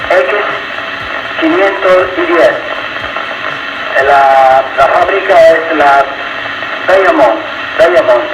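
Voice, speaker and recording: A man on a two-way radio, speaking in halting, repeated phrases as he makes a ham radio contact. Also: a little high. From Sardinia, Italy. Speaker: amateur radio operator. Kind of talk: ham radio contact with spelled call signs. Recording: very noisy radio link with roomy echo.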